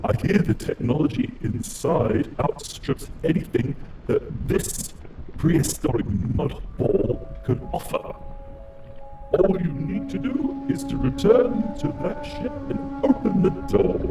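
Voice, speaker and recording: A man's voice: fairly high pitch, big pitch swings, slow, roomy sound, distant mic, over music, exaggerated voice, rough recording. A man playing a cold, menacing alien robot commander bent on conquest in an audio drama, speaking in formal, grandiose villain style. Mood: smug, calculating.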